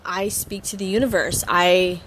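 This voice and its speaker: A woman's voice: fairly low pitch, steady tone, unhurried, fairly bright tone, a little hiss or hum, poor audio. A woman in her thirties from Hawaii, chatting casually as she introduces her podcast at home, stammering and restarting often with giggles. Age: 30s. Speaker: podcast host.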